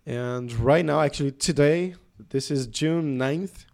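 A man's voice: a little high, measured pace, vocal fry, darkish tone. A man from Brazil chatting casually, with lots of "like" and "yeah". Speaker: podcast guest. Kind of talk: casual conversation.

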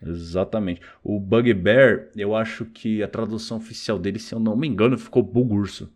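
A man with a Brazilian accent hosts a podcast in casual chat. His voice is on the low side, and he speaks informally with plenty of everyday slang. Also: normal speed, crowd noise. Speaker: podcast host.

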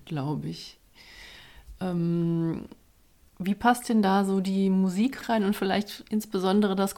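A woman's voice: medium pitch, mellow. A woman interviewer asking casual, conversational questions. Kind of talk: podcast interview.

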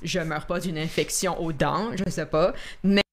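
Une femme qui coanime une discussion décontractée en direct, qui rit souvent et mélange des mots anglais à son français. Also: female voice, on the low side, fairly even intonation, measured pace, slight background noise. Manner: laughs often, mixes English words into French. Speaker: co-host. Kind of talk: casual chat on a live stream.

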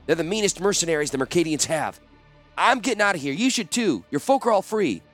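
A man voicing an urgent, frightened fantasy creature in an audiobook, hissing and hurried. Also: a little high, big pitch swings, fairly bright tone, soft background music, voice pushed up. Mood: alarmed. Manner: hissed, hurried.

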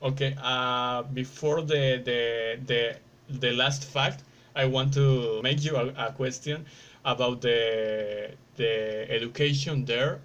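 A man's voice: medium pitch, fairly even intonation, takes it slowly, fairly bright tone, muffled recording, a little hiss or hum. A man who is not a native English speaker, asking casual interview questions and searching for his words.

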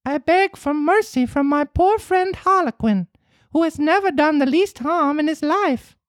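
A small wooden puppet boy with a high, piercing voice far above the reader's own. The boy is brave and pitiful, a storybook hero. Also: expressive, unhurried, dry recording, clean studio sound. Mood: pleading.

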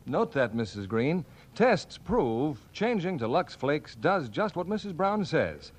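A man's voice: on the high side, big pitch swings, measured pace. A man reading a 1940s radio advertisement as the show's announcer. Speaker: commercial announcer.

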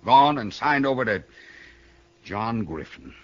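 A man's voice: medium pitch, animated, takes it slowly. An American man playing a desperate small-town banker in a radio western, anxious and emphatic. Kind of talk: 1950s radio western acting. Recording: muffled 1960 radio broadcast.

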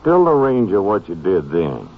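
Tinny-sounding, blunt sheriff. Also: male voice, deep voice, big pitch swings, moderate pace, heavily acted. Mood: suspicious, stern. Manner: blunt, folksy. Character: folksy, blunt, suspicious small-town Texas sheriff. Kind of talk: old-time radio drama acting. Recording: tinny old radio recording.

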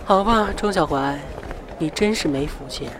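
Man as high-voiced brash student buddy. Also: moderate pace, noisy background, lightly acted. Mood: breezy. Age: young adult. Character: brash, chummy male student roommate. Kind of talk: audio drama acting. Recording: loud music and crowd bed.

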